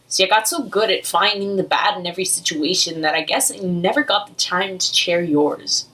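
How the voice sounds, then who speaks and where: A young woman performs slam poetry in a slightly low-pitched voice, a little way off from the microphone. Her delivery is earnest and emotional, moving in the measured rhythm of spoken word. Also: fairly bright tone. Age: young adult. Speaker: slam poet. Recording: distant, off-mic amateur recording.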